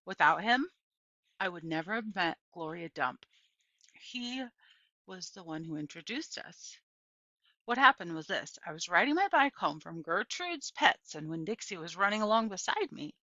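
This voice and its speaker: A woman reading a children's story aloud as expressive narration. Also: on the low side, moderate pace, no echo, lo-fi sound. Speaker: audiobook reader.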